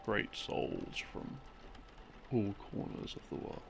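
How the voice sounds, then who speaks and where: A man telling a story in a rambling, intimate boyfriend-roleplay style, halting and musing as his thoughts trail off. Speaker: audio roleplay performer playing a boyfriend. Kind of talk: rambling, improvised intimate storytelling of game lore. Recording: music behind the voice. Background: slow game theme music.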